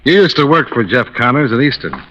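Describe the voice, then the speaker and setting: A man's voice: mid-range voice, very animated, brisk, a bit bright, slight background noise. An actor playing a cool, probing insurance investigator who narrates his case in a clipped, businesslike old-time radio detective style. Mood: dry, probing. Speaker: lead character and narrator. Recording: dull, band-limited vintage radio recording.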